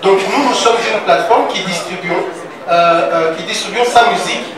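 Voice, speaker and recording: A man speaking as a music platform representative at a press conference, halting with many fillers and repeated words. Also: high-pitched. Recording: echoey hall, distant mic, crowd noise.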